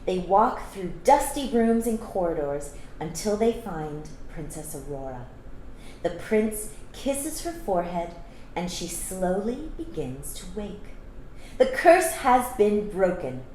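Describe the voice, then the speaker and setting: A woman reads a storybook aloud for children with expressive delivery and explanatory asides. Her voice sits at a middle pitch. The room is echoey, with faint noise behind her. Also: slow. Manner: expressive, explains to young listeners. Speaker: storytime reader.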